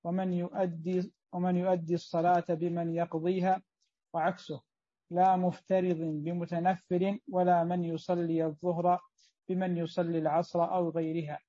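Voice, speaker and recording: A man reading a classical text aloud to a class, slow and measured in formal phrasing, recorded off-mic. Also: high-pitched, flat delivery, dark tone, no echo, lo-fi sound. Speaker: student reader.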